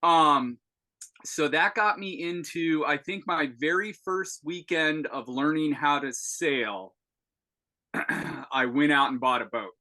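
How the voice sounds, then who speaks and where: A man in his forties with a bright, fairly high voice, telling his story over a video call. He stops and restarts often, filling gaps with ums and uhs. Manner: halting, many fillers and restarts. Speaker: podcast guest. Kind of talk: casual video-call interview.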